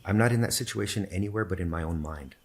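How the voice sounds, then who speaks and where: A podcast guest whose first language is Spanish tells his story in a thoughtful, measured way. His voice sits very low, with a gravelly fry and a husky grain. He explains his points carefully and steadily. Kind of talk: reflective personal storytelling in conversation.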